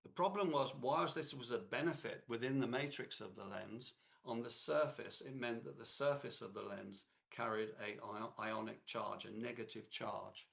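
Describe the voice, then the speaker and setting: A man with a cold, hoarse, giving a technical lecture in a halting way with many fillers and restarts. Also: mid-range voice, fairly bright tone.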